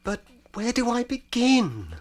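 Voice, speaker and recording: A woman's voice: fairly high pitch, huge pitch swings, takes it slowly, background noise, exaggerated voice. An actress playing a slender teenage boy, a reluctant, self-doubting pupil, with hesitant delivery in an audio drama. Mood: unsure.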